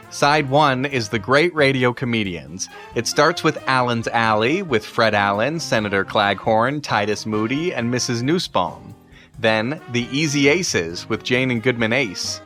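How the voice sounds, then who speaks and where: A man's voice: medium pitch, pushed and bright. A man presenting a scripted introduction. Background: music.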